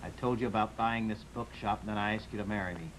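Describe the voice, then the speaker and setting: A man's voice: fairly low pitch, steady tone, quick pace, natural voice. A man playing a desperate, devoted, downtrodden husband in an old-time radio drama, speaking earnestly and pleadingly. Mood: tender, wistful. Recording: noisy vintage radio recording.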